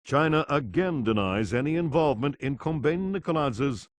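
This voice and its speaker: An actor playing an earnest television news anchor, formally delivering a scripted crisis report in a game. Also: male voice, a little high, expressive, moderate pace, natural voice. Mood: grave, urgent. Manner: formal, grave.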